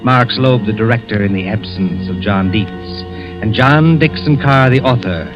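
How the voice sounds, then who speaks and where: A man hosting a vintage radio mystery show with scripted, theatrical, ominous and measured delivery. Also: fairly low pitch. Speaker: announcer and host. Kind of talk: 1940s radio scripted narration. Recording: dull vintage 1940s radio recording. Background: dramatic music.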